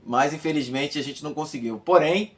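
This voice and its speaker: A man in his fifties from Bahia, a former footballer calmly recounting his old club season, with many fillers and repeated words. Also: a little high, fairly quick. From Brazil, Bahia. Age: 50s. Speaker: former footballer giving a testimony. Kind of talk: recorded personal testimony.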